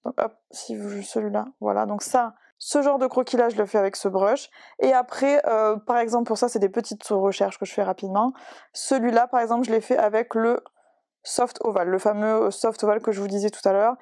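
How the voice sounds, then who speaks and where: Young woman, fairly high-pitched, casual tutorial, hesitant and self-deprecating, dead dry room. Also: fairly even intonation, a little fast, gravelly, a little mellow, no room sound. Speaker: digital illustrator.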